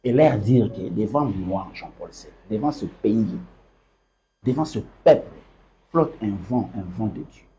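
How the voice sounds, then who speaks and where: Low, mellow man with a Congolese French accent. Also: animated, a little slow, slight background noise. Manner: emphatic and repetitive, quotes scripture and mixes in Lingala. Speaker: political party leader. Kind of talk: interview answers in a preaching tone. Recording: dull lo-fi recording.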